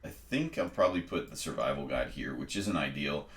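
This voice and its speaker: A man with a very low voice talks through a video game step by step, pausing on ums as he weighs each choice. He sounds congested. His voice rings in a noticeably echoey room. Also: expressive, fairly bright tone. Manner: methodical, explaining step by step with frequent ums. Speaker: let's play host. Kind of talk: casual gameplay commentary and tutorial.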